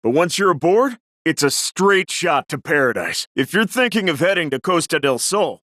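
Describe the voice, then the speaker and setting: An actor playing an eager, easygoing transport driver in a video game, breezy and obliging. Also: male voice, a little high, sing-song, moderate pace, sharp tone, dry recording, clean studio sound. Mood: upbeat, helpful. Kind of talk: video game character dialogue.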